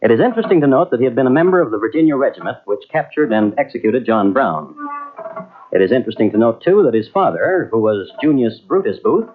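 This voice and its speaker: A man narrating an old radio mystery in a measured, wry storytelling manner. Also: mid-range voice, very animated, slight background noise. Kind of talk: scripted narration. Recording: tinny old radio transfer from cassette.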